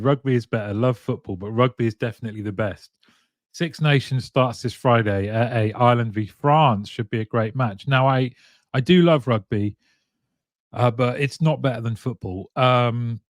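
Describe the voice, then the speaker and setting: A man's voice: mid-range voice, dry recording. Uk guy chatting on a live stream, reads out comments, laughs a lot, dry close sound. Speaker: host reading viewer comments. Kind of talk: casual livestream chat.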